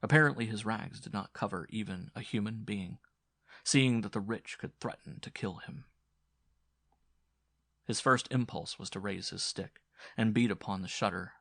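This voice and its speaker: A man's voice: on the low side, moderate pace, vocal fry, fairly bright tone, dry recording, studio quality. A man giving a dramatic scripted narration of a horror story as an audiobook narrator.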